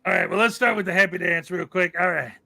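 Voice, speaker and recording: A man hosting a livestream in casual chat, talking excitably with lots of exclamations. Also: high voice, fast talker, vocal fry, faint music behind. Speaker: livestream host.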